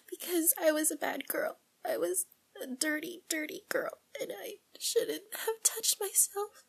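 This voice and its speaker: A woman playing a nervous young woman confessing to a priest, speaking in a breathy whisper with halting, tearful, pleading phrases. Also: extremely high pitch. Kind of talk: whispered audio roleplay monologue.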